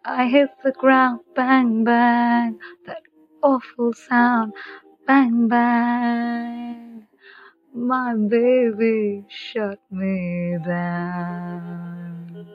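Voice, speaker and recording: A woman singing a ballad softly in a slow lullaby style. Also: on the high side, little pitch movement, muffled recording, faint music behind.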